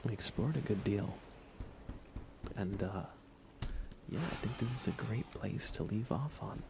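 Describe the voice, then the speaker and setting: A man streaming ASMR in a soft, often whispery voice, chatting in an unhurried, low-key way with pauses. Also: gravelly, background noise. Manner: hushed, unhurried, relaxed delivery with pauses. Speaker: ASMR livestreamer.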